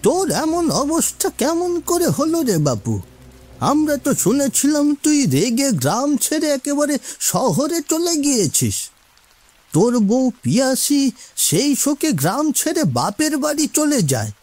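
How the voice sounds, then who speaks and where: A man's voice: very high voice, huge pitch swings, measured pace, a little mellow, dry recording, voice pushed up, heavily acted. A man voicing an indignant, inquisitive elderly villager in a cartoon, with exclaiming, folksy speech. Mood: astonished, curious. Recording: faint music behind.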